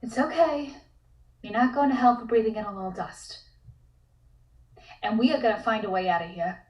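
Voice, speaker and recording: A woman's voice: a little high, normal speed, close to an everyday voice. A woman playing a trapped, frightened but caring young woman in a comic horror table read, anxious and earnest, in roomy, distant sound.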